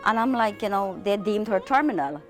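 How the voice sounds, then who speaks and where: A woman's voice: mid-range voice, lively intonation, a little fast. A woman speaking in the style of a personal testimonial for an advertisement. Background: soft sitar music.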